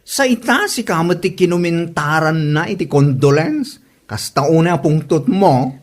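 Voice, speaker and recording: A woman's voice: low voice, very animated, a little slow, a little mellow, exaggerated voice. A woman playing a blunt, nagging friend in an Ilocano comedy drama. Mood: scolding.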